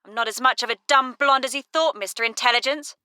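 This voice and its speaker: A woman acting in an audio drama as a cunning, sharp-tongued wife who plays the wronged party. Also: sits high, quick pace, clear, resonant tone, no room sound, clean studio sound. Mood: cold, bitter.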